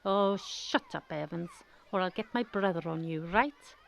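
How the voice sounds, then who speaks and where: A woman's voice: lowish voice, measured pace, creaky. A woman playing an embarrassed, hesitant teenage schoolgirl with a Welsh accent in a radio drama. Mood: defiant. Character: shy, embarrassed, defensive fifteen-year-old schoolgirl.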